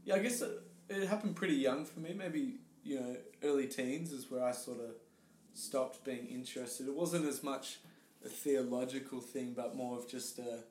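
A man with an Australian accent, a guest telling his story in casual interview chat, relaxed and hesitant with many fillers. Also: mid-range voice, normal speed. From Tasmania, Australia. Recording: roomy echo.

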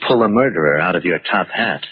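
A low male voice on a dull, faintly hissy 1940s radio recording. a suspicious man who needles and accuses, pointed and insinuating in classic mystery style. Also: animated, fairly quick. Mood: needling. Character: Ben, suspicious, needling male host accusing his friend.

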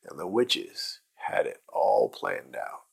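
A man's voice: rumbling low, wildly swooping pitch, takes it slowly, gravelly, husky. A man narrating an improvised bedtime story for children, with simple wording and emphatic repetition.

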